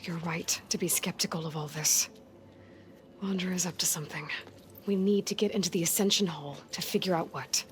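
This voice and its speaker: A woman's voice: on the low side, steady tone, a little fast, natural voice. A woman playing a determined, wry young outsider in a naturalistic video game cutscene, persuasive and probing. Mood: earnest, probing.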